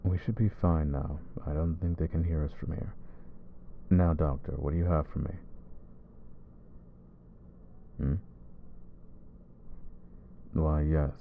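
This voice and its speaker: A man voicing a brooding male vampire who hunts his own kind, speaking low and measured in an ASMR roleplay. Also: fairly even intonation, gravelly, narrow, tinny audio, a little hiss or hum, exaggerated voice. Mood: grave.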